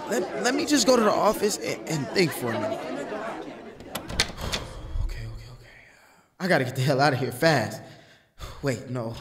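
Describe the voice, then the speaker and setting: Green frontier deputy, male actor: high, very expressive pitch, wordy and earnest, anxious edge, close dry recording. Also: slightly rough, no room sound, exaggerated voice. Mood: shaken, panicky. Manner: hesitant, stammering. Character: nervous, reluctant young deputy from Chicago, earnest and wordy. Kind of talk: audio play acting.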